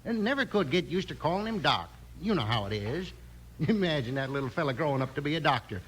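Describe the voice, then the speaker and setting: A swooping, dithering western hotel clerk. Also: male voice, on the high side, big pitch swings, quick pace. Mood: amused, rambling. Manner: rambling, dithering, folksy. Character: slow, drowsy, easygoing small-town hotel clerk. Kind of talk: 1950s western radio acting. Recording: noisy old 1950s radio recording.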